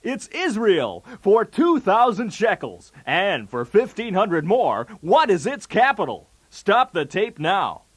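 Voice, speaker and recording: High-pitched, swooping game show host. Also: male voice, huge pitch swings, takes it slowly, hardly any pauses, husky, full character voice. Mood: showy, wisecracking. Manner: showy, jokey, with punchy delivery of gags. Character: brash wisecracking male TV game show host. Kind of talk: 1980s game show patter.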